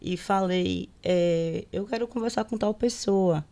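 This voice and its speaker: Woman in her 30s, Sergipe accent, mid-pitched, relaxed storyteller who keeps checking in with her listener. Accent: Sergipe Brazilian Portuguese. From Sergipe, Brazil. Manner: unhurried, tags many phrases with a questioning aside. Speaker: public servant guest on a videocast. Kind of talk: conversational storytelling in a panel discussion.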